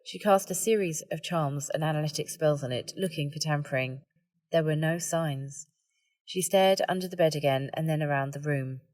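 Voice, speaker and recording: A woman's voice: low voice, normal speed, a little mellow, no room sound. A woman reading a story aloud as scripted audiobook narration.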